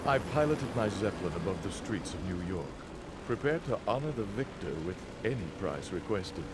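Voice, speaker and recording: A man playing a sly, menacing contest master, narrating a video game in a grandiose, measured, theatrical way. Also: on the low side, very animated, heavy background noise. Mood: ominous storytelling.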